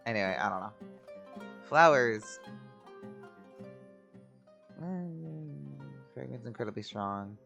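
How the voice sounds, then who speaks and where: A man's voice: mid-range voice, expressive, takes it slowly, vocal fry, pauses often. A man giving casual, joking game commentary, often muttering in short clipped fragments. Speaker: gaming streamer. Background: game music.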